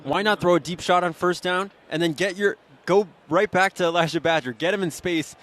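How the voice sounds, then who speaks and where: Higher-pitched male football color commentator. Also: fairly quick, fairly bright tone. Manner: conversational, explanatory, opinionated. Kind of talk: live sports analysis.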